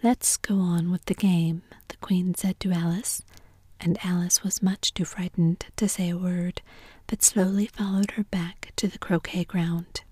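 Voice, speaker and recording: A woman reading a storybook aloud in a steady whisper, ASMR style. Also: on the low side, a little slow, breathy edge, no room sound.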